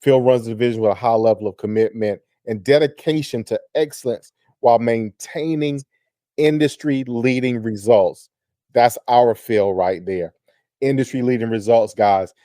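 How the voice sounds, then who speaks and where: A man hosting a real estate radio show, talking in an energetic, effusive way as he hypes up his guest. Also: medium pitch, moderate pace, no room sound, studio quality. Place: radio studio.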